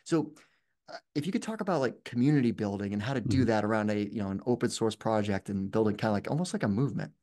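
A man's voice: fairly low pitch, expressive, fast, darkish tone. A man hosting a podcast, asking questions in a chatty, loose way, with fillers like "like" and "you know". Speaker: podcast host. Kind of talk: casual interview conversation.

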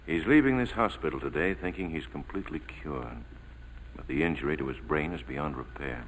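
An actor playing a sober, candid military surgeon in a 1940s radio drama, speaking in a measured way. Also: male voice, a bass voice, animated. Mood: grave. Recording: hollow reverberant old radio, faint noise.

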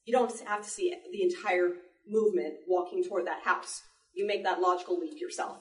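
A woman giving a live demonstration talk to an audience, explaining in an animated way with playful asides. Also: fairly low pitch, a little fast. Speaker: panel presenter, sound effects artist. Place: indoor library panel before an audience. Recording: echoey room, distant microphone.

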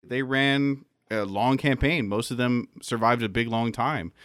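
A man with a mid-pitched voice talks in a casual, explanatory way, as in a panel chat about tabletop games. His speech is informal and conversational, and the recording is close and dry. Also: fairly even intonation, measured pace, fairly bright tone, clean tone, no echo. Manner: explanatory, peppers talk with "like".

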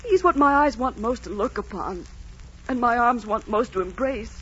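A woman's voice: fairly high pitch, expressive, moderate pace, fairly bright tone. A woman playing a passionate, desperate young innkeeper's daughter, pleading emotionally in 1940s radio melodrama style. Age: young adult. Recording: dull, scratchy 1940s radio recording.

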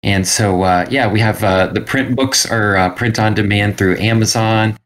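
A man chatting casually as an interview guest, in a halting, hesitant way. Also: lowish voice, steady tone, a bit bright. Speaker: guest, self-published co-author.

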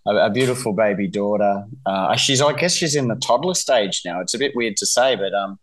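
A man who is a musician guesting on a podcast, talking casually and haltingly, often repeating words as he restarts. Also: on the low side, animated.

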